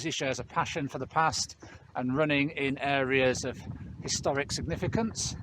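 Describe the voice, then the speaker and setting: A middle-aged man co-hosting a running channel, chatting to camera in a relaxed, reflective way with dry humour. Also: a little high, a little hiss or hum, poor audio. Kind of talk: casual chat to camera.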